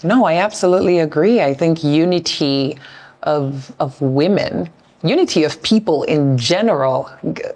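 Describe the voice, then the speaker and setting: A woman with a low, steady voice conducts an interview. She is from South Sudan and speaks thoughtfully, often repeating a word while she works out her question. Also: moderate pace. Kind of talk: conversational interview.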